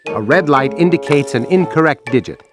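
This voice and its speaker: A man's voice: on the high side, lively intonation, brisk, close to an everyday voice. A man voicing a pompous, scornful megalomaniac lab mouse in a cartoon game, speaking in a grand, precise manner. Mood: grand, scheming. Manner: grandiose, precise, weary disdain. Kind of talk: cartoon video game acting. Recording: loud music behind the voice.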